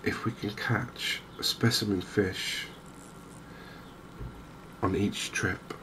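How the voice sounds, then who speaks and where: A man speaks softly and low, taking his time as he commentates on a game in an ASMR style. His phrasing is measured and hesitant, with drawn-out gaps. Clear background noise runs under the voice. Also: steady tone. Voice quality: soft-spoken. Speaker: gaming video host.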